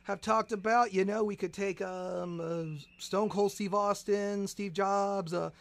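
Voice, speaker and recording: A man hosting a comedic radio show, excitable and stammering through restarts, now and then shouting. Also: high voice, a little slow, creaky.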